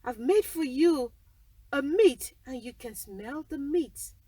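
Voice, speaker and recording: Fairytale castle cook, done by a woman storyteller: firm, emphatic, high-pitched, unhurried. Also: lively intonation, warm tone, dry recording, distant mic. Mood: firm, chiding. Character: castle cook, a no-nonsense woman soothing a giant.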